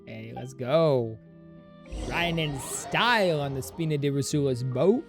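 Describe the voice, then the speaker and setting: Low-voiced, animated woman streaming a game. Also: measured pace. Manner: playful, gushing, interjecting. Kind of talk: casual reactions to a game's story. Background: game music.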